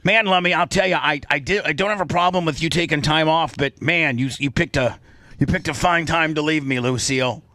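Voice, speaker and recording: A man hosting a casual radio talk show, speaking in a halting, rambling way on a close microphone. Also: a little high, bright, forceful tone, no room sound. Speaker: host and boss of the show. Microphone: close studio microphone.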